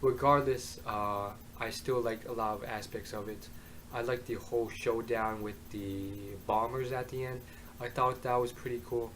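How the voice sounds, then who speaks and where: Young male vlogger, hoarse voice, rambling anime review, bedroom webcam, light hiss behind. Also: medium pitch, fairly even intonation, normal speed, a bit bright, rough recording. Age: young adult. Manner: rambling, many ums and uhs. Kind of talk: casual vlog review. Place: bedroom, indoors. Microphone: webcam at desk.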